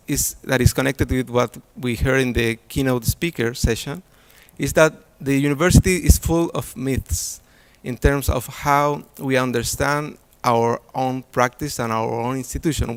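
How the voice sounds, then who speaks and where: A man's voice: medium pitch, normal speed, hardly any pauses, clean tone. Man presenting an academic talk. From Chile. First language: Spanish. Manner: hesitant, many fillers and restarts. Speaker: conference presenter.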